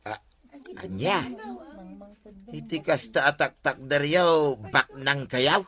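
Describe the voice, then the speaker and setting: A man's voice: on the high side, expressive, slow, sharp tone, full character voice. A man playing an inquisitive, exclaiming village uncle in an Ilocano comedy audio drama. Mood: amazed.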